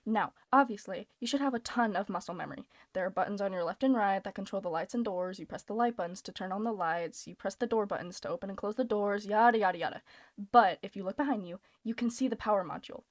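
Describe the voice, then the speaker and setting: A woman voicing a female radio guide who gives steady, soft-spoken night-shift instructions for an audition. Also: medium pitch, fast, no room sound, natural voice. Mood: instructive. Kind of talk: voice-acting audition read.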